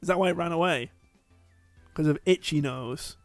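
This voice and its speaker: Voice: medium pitch, moderate pace, no room sound, soft background music. A let's play co-host giving casual, chatty gameplay commentary.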